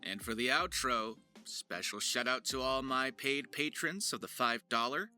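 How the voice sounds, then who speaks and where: A man's voice: mid-range voice, unhurried, pushed and bright, soft background music. A man hosting an informal voice-acting tutorial, talking straight to viewers like an earnest coach. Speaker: voice actor and video host.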